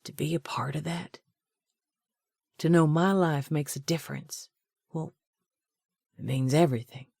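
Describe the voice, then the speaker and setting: A woman voicing a passionate grown man, a romantic hero who murmurs softly and snaps back hard when challenged. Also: measured pace, vocal fry, pauses often, breathy edge, no room sound, studio quality, full character voice. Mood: tender. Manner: low murmured tenderness turning to heated retorts.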